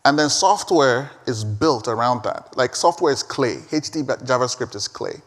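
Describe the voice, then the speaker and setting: A man whose first language is Igbo, giving an energetic, emphatic conference talk and taking questions, often repeating words as he pushes a point. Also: mid-range voice, normal speed. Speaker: conference speaker. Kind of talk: live keynote talk and Q&A. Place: large conference hall. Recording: reverberant hall.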